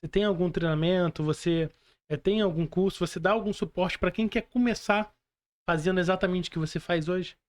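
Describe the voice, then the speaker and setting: A Brazilian man asking casual interview questions as a podcast host, halting and rephrasing as he goes. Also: sits high.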